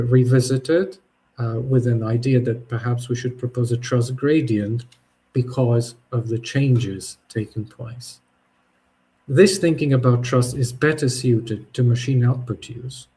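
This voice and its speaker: Halting reflective man, Polish native. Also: medium pitch, darkish tone. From Poland. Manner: thoughtful, halting, with frequent restarts and fillers. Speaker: podcast host. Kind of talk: reflective spoken essay.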